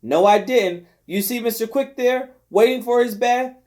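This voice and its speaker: Bossy housewife acted by a man, audiobook character, high-pitched, scolding, exclamatory, impatient. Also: moderate pace, darkish tone. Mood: scolding, hollering.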